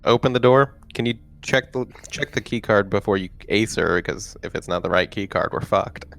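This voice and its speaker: A man's voice: lowish voice, moderate pace, vocal fry, a bit bright. A man talking casually as a player in a tabletop roleplaying game.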